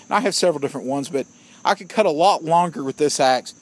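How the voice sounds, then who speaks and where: A man's voice: fairly high pitch, fast talker. A man giving a casual outdoor gear review, plainspoken and opinionated, often calling his viewers "guys". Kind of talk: casual product review vlog.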